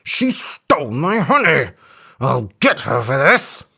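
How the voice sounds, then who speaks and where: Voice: medium pitch, sing-song, takes it slowly, slightly rough, full character voice. A narrator voicing a big angry elephant. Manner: blustering, shouting threats, then falsely polite.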